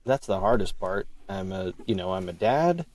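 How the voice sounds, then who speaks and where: A man's voice: sits low, animated, normal speed, darkish tone. A middle-aged man speaking as a podcast guest, a creator talking casually about his writing in an interview. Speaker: podcast guest, audio drama creator and writer.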